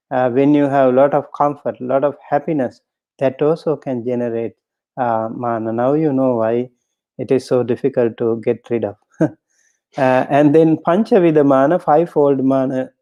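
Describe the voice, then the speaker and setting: A man, a Buddhist monk, giving a dharma talk in a halting way with many pauses and fillers, reciting Pali terms and then explaining each one. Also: medium pitch, dark tone, clean tone. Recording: dull lo-fi recording.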